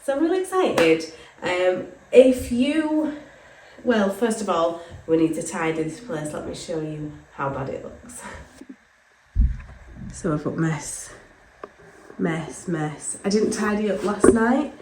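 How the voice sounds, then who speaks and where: A woman with a cold, chatting casually through a home vlog and filling pauses with frequent ums. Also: lowish voice, expressive, a little mellow, slight background noise. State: full of cold, sore throat. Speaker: vlogger. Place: indoors, home playroom. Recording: echoey room.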